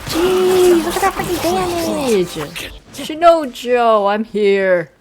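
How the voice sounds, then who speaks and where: A woman's voice: high-pitched, expressive, slow, slight background noise. A young woman giving casual, joking playthrough commentary as a gaming streamer, with a playful, theatrical manner.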